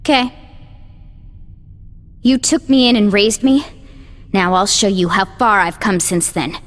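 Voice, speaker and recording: A woman's voice: mid-range voice, slow, fairly bright tone. An actress playing a plain-spoken, loyal young woman fighter in a video game, determined. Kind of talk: English video game dub acting. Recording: echoey hall reverb.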